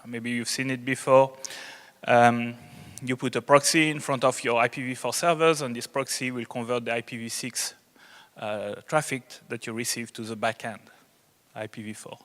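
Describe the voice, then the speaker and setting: A man with a strong French accent speaks at a medium pitch in a big reverberant hall. He gives an unscripted technical presentation to a conference, explaining as he goes. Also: steady tone, moderate pace, a bit bright. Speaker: conference presenter, network engineer.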